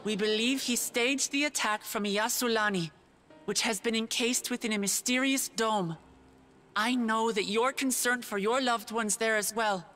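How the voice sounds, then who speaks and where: A resolute young female ruler, played by a woman, speaks to the people in a bright, fairly high voice. The delivery is earnest and measured, like formal oratory in a video game drama, with music underneath. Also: close to an everyday voice.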